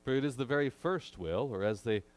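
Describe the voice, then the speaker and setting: A man in his thirties preaching a sermon from a church pulpit, measured and emphatic with frequent pauses, into a pulpit microphone. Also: mid-range voice. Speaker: pastor.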